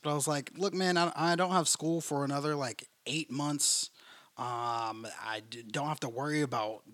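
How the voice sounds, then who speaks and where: A man's voice: a little high, steady tone, normal speed, a bit bright. A young man hosting a podcast, upbeat and chatty in casual talk with lots of fillers. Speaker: podcast host. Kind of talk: casual chat.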